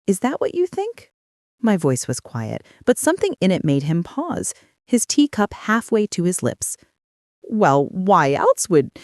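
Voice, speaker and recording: Brief: woman narrator, calm and menacing, lively pitch, dry close studio sound. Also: no echo. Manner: calm, measured and quietly menacing. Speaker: storyteller. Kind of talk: first-person scripted story narration.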